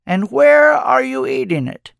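A man's voice: high voice, sing-song, moderate pace, gravelly, a bit bright, no room sound, clean studio sound, voice pushed up, full character voice. A man voicing a kindly old wandering mentor with a playful, sage-like, teasing manner in an audiobook. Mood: sly, teasing.

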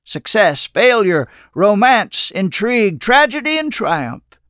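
A man's voice: high-pitched, sing-song, takes it slowly, a bit bright, no echo, studio quality, voice pushed up, exaggerated voice. A man voicing a kindly old wandering mentor with a playful, sage-like, teasing manner in an audiobook. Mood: earnest, wise.

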